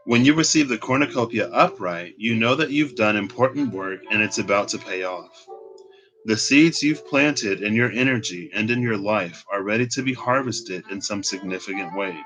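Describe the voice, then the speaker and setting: A young man in his late twenties talks through a card reading in a candid, reflective way, laughing at himself now and then. His voice sits a little low but sounds bright and forward. Soft music plays faintly underneath. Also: expressive, moderate pace, poor audio. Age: 20s. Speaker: tarot reader. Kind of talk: casual spoken card reading.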